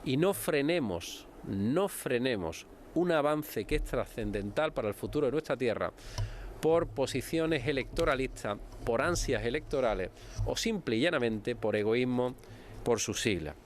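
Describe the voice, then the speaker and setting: A man's voice: medium pitch, lively intonation, normal speed, slight background noise. A male politician making a solemn formal appeal, insisting and repeating his key words.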